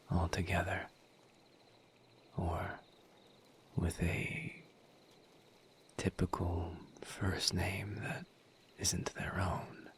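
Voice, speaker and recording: Man playing a very slow, hushed, low-voiced demon. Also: slight background noise, exaggerated voice. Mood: polite, curious. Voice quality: soft, breathy near-whisper. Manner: measured, halting, formal diction with long pauses. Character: calm, formal male misery demon, gently perceptive. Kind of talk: audio roleplay, intimate scripted monologue.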